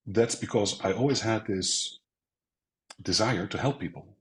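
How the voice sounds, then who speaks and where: A man's voice: lowish voice, unhurried. A middle-aged man from the Netherlands, a sales coach telling stories as an interview guest, often acting out quotes. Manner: reflective, storytelling with acted quotes. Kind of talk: casual interview talk.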